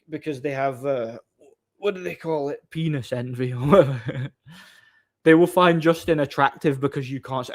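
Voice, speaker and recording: A man, chatting casually on a livestream, reading out viewer messages and answering with mocking boasts. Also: mid-range voice. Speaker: live streamer reading chat.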